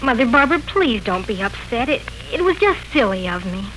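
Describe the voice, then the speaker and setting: A woman's voice: on the high side, very animated, a little fast, fairly bright tone, a little hiss or hum, rough recording. An actress playing an apologetic, uneasy young woman in a family drama, in 1940s radio style.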